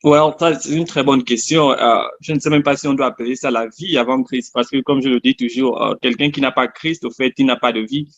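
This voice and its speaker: A man's voice: medium pitch, fairly even intonation, fairly quick, few pauses, muffled recording. A man from Gabon with a Gabonese accent gives a personal testimony in a conversational way, as an interview guest, repeating phrases for emphasis.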